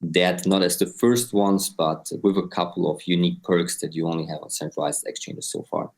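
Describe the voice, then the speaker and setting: Deep-voiced Austrian man in his twenties. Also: expressive, moderate pace, vocal fry. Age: 20s. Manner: explains step by step, often adds "right?". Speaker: guest, crypto company co-founder. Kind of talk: explanatory interview answers.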